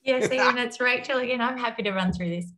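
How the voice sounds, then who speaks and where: A woman's voice: fairly high pitch, brisk, a bit bright. A woman presenting as a local council representative in a webinar over a video call.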